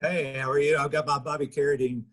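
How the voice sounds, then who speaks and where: A man's voice: fairly high pitch, little pitch movement, fast talker, a bit bright. A man in his sixties casually moderating a conversation on a video call from a home study. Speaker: narrator and moderator. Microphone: video call device microphone. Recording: noisy video call with clicks.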